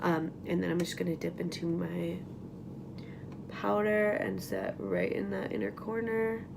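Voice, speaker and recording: A young woman with a raspy morning voice chatting casually through a makeup tutorial at home, full of likes and ums and trailing off into whispers. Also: on the low side, level tone, measured pace, background noise. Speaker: beauty vlogger. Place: at home, getting ready.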